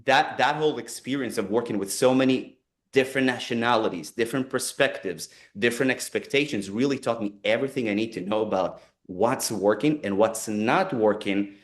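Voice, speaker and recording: A man's voice: medium pitch, a little fast. A man in his forties with an Israeli accent, talking earnestly in a webinar and often repeating and restarting phrases. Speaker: company co-founder presenting a webinar. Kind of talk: conversational webinar talk.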